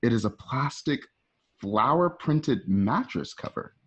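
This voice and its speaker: A man reads screenplay directions as narrator of a radio play. His voice is medium in pitch. The sound is a bit dull and lo-fi. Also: expressive, unhurried. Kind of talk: reading screenplay stage directions aloud. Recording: dull lo-fi home recording.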